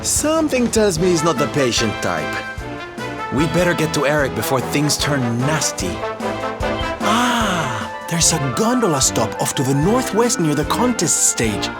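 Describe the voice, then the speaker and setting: An actor playing a flamboyant, kindly adventurer in a video game, campy and theatrical, full of endearments. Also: male voice, fairly high pitch, big pitch swings, a little slow. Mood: conspiratorial, resolute. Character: flamboyant, kindly adult male showman adventurer, campy and brave. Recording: loud game music under the voice.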